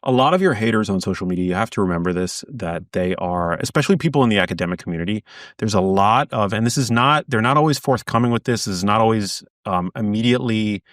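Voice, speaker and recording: Earnest man guest with a lower voice. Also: expressive, fast talker, a bit bright, no echo, clean studio sound, distant mic. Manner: earnest, talks in bursts with many restarts. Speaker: guest author. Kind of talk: casual interview chat.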